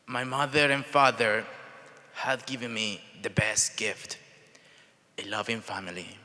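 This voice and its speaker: A young man from El Salvador with a Salvadoran Spanish accent gives a prepared personal speech in short, deliberate phrases. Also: on the low side, fairly even intonation, pushed and bright. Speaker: speaker telling his life story at a charity event. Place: large event hall, speaking to an audience. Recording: reverberant large hall sound.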